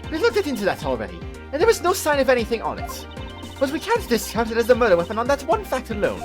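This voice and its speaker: A man's voice: extremely high pitch, wildly swooping pitch, fast. A man hosting a casual let's-play, chatting, giggling and reading game dialogue aloud. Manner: giggly, reads lines aloud in put-on voices. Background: game music.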